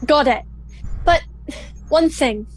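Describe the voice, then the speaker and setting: A woman's voice: high-pitched, slow pace, poor audio. A young woman playing a sullen, insecure and defiant teenage girl pilot in a sci-fi radio play. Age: young adult.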